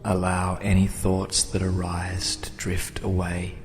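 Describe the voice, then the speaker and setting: Slow, deep-voiced man guiding meditation. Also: monotone, faint music behind. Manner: soothing, measured, with long pauses. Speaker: guided meditation narrator. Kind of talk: scripted guided meditation narration.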